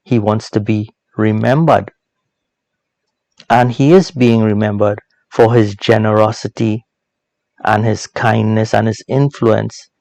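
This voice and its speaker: A man hosting a teaching series, speaking in a reflective, sermon-like but informal way, deliberate and emphatic, with repeated phrases and rhetorical questions. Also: on the low side, vocal fry, a little mellow, no echo. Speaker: host of a Bible proverbs teaching series.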